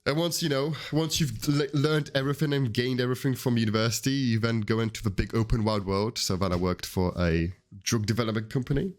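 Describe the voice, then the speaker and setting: A man's voice: mid-range voice, brisk, hardly any pauses. Easygoing young man from Poland. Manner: easygoing, rambling with restarts. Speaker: podcast co-host. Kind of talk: casual podcast chat.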